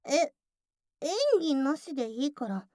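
A woman's voice: high voice, big pitch swings, slow, a little mellow, dry recording, clean studio sound, full character voice. A woman voicing a bossy, teasing tsundere childhood friend working as a cafe waitress in an intimate ASMR voice drama, often slipping into whispers. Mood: flustered, huffy. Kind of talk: Japanese ASMR situation voice drama.

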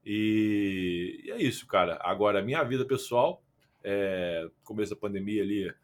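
A young man with a Carioca accent and a fairly low voice, chatting on a podcast over a remote call. He rambles, leans on fillers and restarts his sentences often. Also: expressive, normal speed. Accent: Carioca Portuguese. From Rio de Janeiro, Brazil. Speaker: podcast guest, football content creator. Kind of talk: casual podcast conversation.

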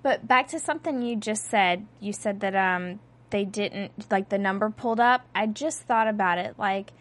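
A woman's voice: mid-range voice, moderate pace, a bit bright. A woman co-hosting a casual podcast. She talks through her thoughts on a show in a reflective, conversational way. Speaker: podcast co-host.

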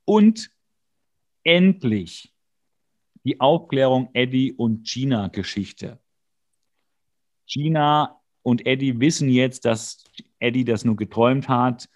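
A man's voice: mid-range voice, lively intonation, slow, pauses often, darkish tone, muffled recording. A man co-hosting a podcast, recapping an episode in casual chat with a jokey, rambling, wry manner. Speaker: podcast co-host.

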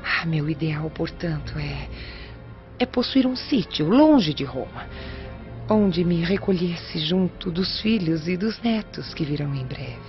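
A woman's voice: low-pitched, animated, a little slow. A woman playing a humble, earnest middle-aged servant in a radio drama, with a Brazilian accent and a deferential manner. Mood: earnest, pleading. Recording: muffled radio drama, soft music underneath.

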